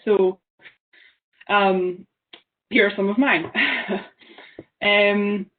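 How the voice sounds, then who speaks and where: A young Scottish woman presents thoughtfully from home, pausing often with ums. Her voice sits at an ordinary middle pitch. The sound is thin and narrow, like a laptop video call. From Isle of Lewis, Scotland. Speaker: seminar speaker. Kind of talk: online seminar presentation. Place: small room at home. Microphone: laptop webcam on a video call. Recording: tinny phone-like video call.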